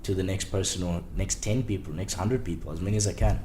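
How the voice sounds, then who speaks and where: Low mellow-voiced young man. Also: fast talker, a little hiss or hum. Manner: relaxed, rambling with many ums and restarts. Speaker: podcast guest, startup founder. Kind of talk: casual interview chat.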